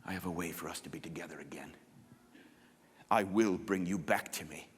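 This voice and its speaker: Man voicing a hushed, whispering God. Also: low voice, fairly quick, big-room sound, voice pushed down, close to an everyday voice. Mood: solemn. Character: God, solemn hushed divine male voice.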